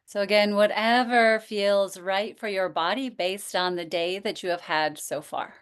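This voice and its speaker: A woman teaching yoga nidra, guiding relaxation in a gentle, inviting manner with pauses. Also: medium pitch, steady tone, clean tone. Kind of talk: guided relaxation instruction.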